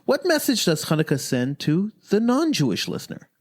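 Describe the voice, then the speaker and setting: A male radio host speaks in a lively, swinging voice that sits a bit high. He announces and interviews in broadcast style. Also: normal speed.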